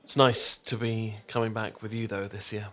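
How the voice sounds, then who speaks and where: A man's voice: lowish voice, little pitch movement, normal speed, fairly bright tone, natural voice. Man playing a flustered, stammering British boyfriend. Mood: sheepish, hesitant. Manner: stammering, hesitant, rambling. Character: flustered, tea-obsessed English boyfriend out shopping. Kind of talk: ASMR boyfriend roleplay, casual chat to the listener.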